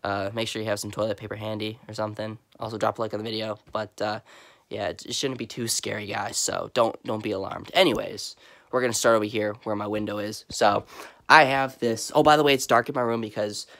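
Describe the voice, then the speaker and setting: A young man with a stuffy, cold-blocked voice, chatting casually in a rambling way as he gives a vlog tour of his dark bedroom, recorded on a phone held at arm's length. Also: on the low side, fairly even intonation, fairly quick. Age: young adult. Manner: chatty, rambling, lots of fillers. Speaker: vlogger. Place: dark bedroom lit by Halloween lights. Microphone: handheld phone camera at arm's length.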